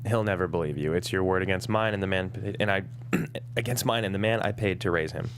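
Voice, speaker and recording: An actor playing a cynical, guarded office worker in a sitcom script read, with a dry deadpan delivery. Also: male voice, low-pitched, brisk, close to an everyday voice. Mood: sardonic, guarded. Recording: noisy room with hum.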